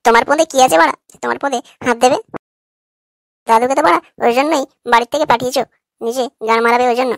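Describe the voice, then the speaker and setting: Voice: high voice, steady tone, quick pace, creaky, dry recording. A performer voicing a crude, cocky young neighborhood prankster in a cartoon, always teasing.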